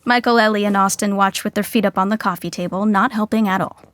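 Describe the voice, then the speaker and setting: A woman's voice: mid-range voice, fairly even intonation, fast, fairly bright tone, close to an everyday voice. A woman playing a wry narrator in a scripted podcast sitcom. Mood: brisk narrating.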